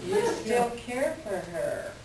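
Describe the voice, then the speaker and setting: A woman's voice: on the high side, wildly swooping pitch, slow, crowd noise, full character voice. Woman acting a feisty, blunt employee, echoey room. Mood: shocked, wary. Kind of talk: staged script reading. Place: echoey room, far from the mic. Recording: distant echoey room with background noise.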